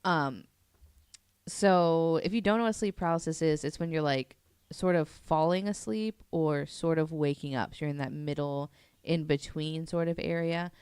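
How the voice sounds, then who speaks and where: A woman's voice: low voice, moderate pace, darkish tone, clear, resonant tone, no echo. A woman presenting a topic on a casual podcast, chatty and self-deprecating, with lots of fillers.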